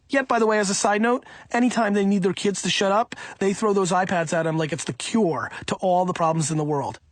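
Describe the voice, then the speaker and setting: A man reading an audiobook in the first person, in an emphatic, punchy, conversational way with a husky voice. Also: on the high side, dry recording. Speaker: audiobook reader.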